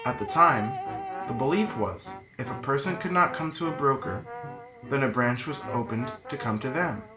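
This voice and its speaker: A man's voice: mid-range voice, expressive, normal speed. A man reading scripted narration as a newsreel narrator. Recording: echoey room sound. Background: guitar music.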